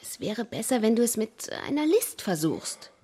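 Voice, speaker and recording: High-voiced young runaway woman. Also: big pitch swings, normal speed, mellow, slightly rough. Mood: cautioning. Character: soft-spoken, anxious but cunning young runaway woman. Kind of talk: naturalistic radio drama acting.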